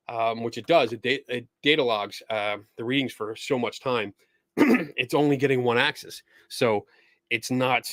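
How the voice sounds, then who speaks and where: A man's voice: mid-range voice, a bit bright, dry recording. Man losing his voice, dry close sound. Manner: rambling, many fillers and restarts, mocking quoted voices. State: losing his voice, clearing throat. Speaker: livestream host answering viewer questions. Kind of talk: casual live-stream chat.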